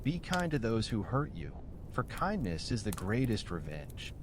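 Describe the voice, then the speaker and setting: A man's voice: on the low side, sing-song, measured pace, a little hiss or hum. A man voicing a merciful old man in a bedtime storybook, speaking earnestly and formally for his brothers. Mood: pleading.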